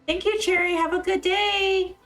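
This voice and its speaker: A woman's voice: very high voice, fairly even intonation, normal speed, a bit bright. A woman streaming a video game, chatting informally to viewers in a rambling way, repeating words as she goes. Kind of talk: casual livestream chat. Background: game music.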